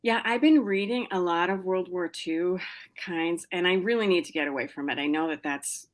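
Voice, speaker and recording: A middle-aged woman with a low-pitched voice talks about her writing as a podcast guest. Her talk is loose and chatty, full of "um"s and restarts, with small laughs. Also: normal speed. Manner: chatty, lots of fillers and restarts, laughs often. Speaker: novelist guest. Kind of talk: casual interview chat.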